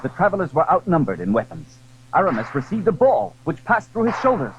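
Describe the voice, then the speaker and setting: A man's voice: on the high side, huge pitch swings, brisk, muffled recording, a little hiss or hum. A man narrating a classic adventure novel in dramatic, scripted storytelling style.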